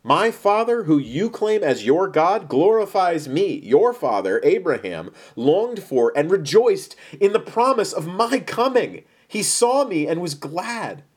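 A man voicing Jesus as a bold, authoritative teacher in his thirties, speaking with measured, solemn emphasis in a dramatized Bible story. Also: high-pitched, big pitch swings, husky, pitched above the performer's own voice, exaggerated voice. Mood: bold, impassioned.

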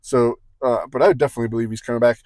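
A man's voice: medium pitch, steady tone, rapid-fire, a little mellow, no room sound. A man co-hosting a casual sports podcast, rambling through points with joking asides and frequent self-corrections. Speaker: podcast co-host.

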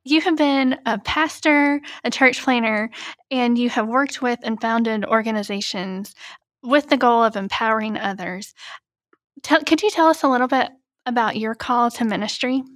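A woman podcast host who reads a smooth, measured introduction and then asks interview questions. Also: on the high side, no echo.

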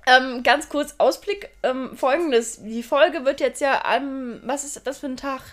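A woman's voice: high voice, measured pace, a bit bright. A young woman in her twenties co-hosting a casual chat podcast, rambling and giggly, often breaking off and restarting her sentences. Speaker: podcast co-host.

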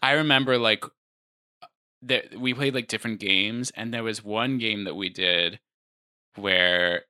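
Casting: man, late twenties, low-pitched but bright and forceful tone, rambling casual comic banter, dry close-miked sound. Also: steady tone, unhurried, smooth, no room sound. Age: 20s. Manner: chatty, rambling, frequent "like" fillers. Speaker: stand-up comedian. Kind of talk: casual conversation.

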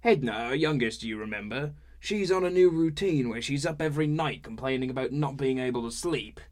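Guy doing a loud cheery dad, rambling on, higher pitched than his usual. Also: animated, brisk, natural voice. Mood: cheerful. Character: boisterous bearded man, cheerful family dad.

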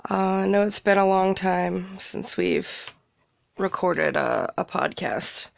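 A woman's voice: mid-range voice, big pitch swings, slow pace, gravelly, no echo. A young woman with a congested cold, rambling casually as a podcast host from a small closet, laughing and swearing freely. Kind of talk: casual solo chat.

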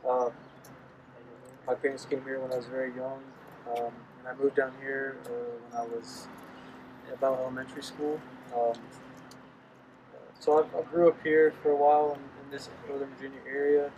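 Young man from Bosnia, flat hesitant delivery. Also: medium pitch, normal speed, pauses often, highs cut off, background noise. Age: young adult. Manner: hesitant, many uhs. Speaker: graduating engineering student.

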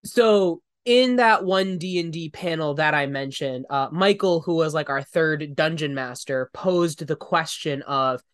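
A man's voice: fairly high pitch, measured pace, few pauses, fairly bright tone, clean tone, no echo. Man, congested, nasal, thoughtful podcast co-host, dry close sound. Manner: thoughtful, explanatory, with fillers. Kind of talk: casual chat.

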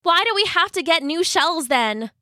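A woman's voice: high voice, animated, moderate pace, fairly bright tone, no room sound, clean studio sound, pitched above the performer's own voice. A woman voicing a young, sensitive male hermit crab in an earnest, plaintive way for a children's story. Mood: frustrated.

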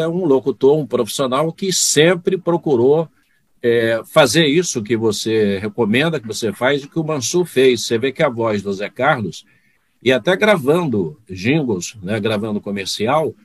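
A man's voice: mid-range voice, no room sound. A man who works as a sports announcer, telling anecdotes about fellow radio men in a conversational way, with some hesitant starts. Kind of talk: casual chat among broadcasters.

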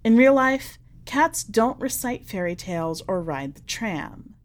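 Woman narrating fiction with very animated pitch. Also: measured pace. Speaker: podcast host and story narrator. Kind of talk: expressive scripted narration of a short story.

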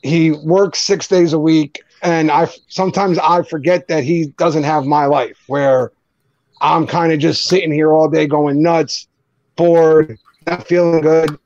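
A man's voice: a little high, fairly even intonation, darkish tone, no room sound, lo-fi sound. A man with a New Jersey accent, chatting casually and joking as a co-host of a group video stream. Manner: joking, laughs often, quick back-and-forth.

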